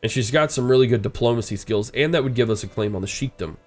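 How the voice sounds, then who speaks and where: A man's voice: mid-range voice, fast, soft background music. A congested man with a stuffed-up nose, casually commenting as he plays a strategy game and thinking aloud. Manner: rambling, thinking aloud, frequent uh fillers. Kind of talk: casual let's-play commentary.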